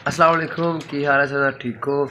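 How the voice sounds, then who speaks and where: A man with a Punjabi accent, hoarse and unwell, chatting casually to camera as a vlogger in an easygoing way. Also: fairly high pitch, level tone, brisk. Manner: easygoing, mixes Punjabi into Hindi-Urdu. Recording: noisy background.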